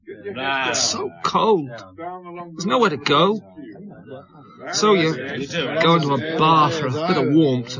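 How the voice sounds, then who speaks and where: A man's voice: fairly high pitch, muffled recording. An actor playing a self-pitying, drunken down-and-out father in a radio drama, despairing and full of self-reproach.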